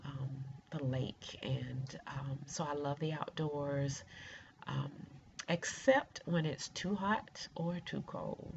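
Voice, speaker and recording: Low, raspy woman with a cold. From rural Georgia, United States. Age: middle-aged. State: congested with a cold. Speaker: doctoral student introducing herself. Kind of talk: informal self-introduction to an online class. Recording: muffled lo-fi video, faint noise.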